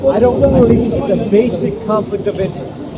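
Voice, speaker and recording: A man's voice: high voice, a little fast, over a noisy crowd. A Korean American man, a candidate for office, answering interview questions on a city street with firm, repeated points. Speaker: congressional candidate. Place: outdoors on a city street with traffic. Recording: loud street noise. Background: traffic.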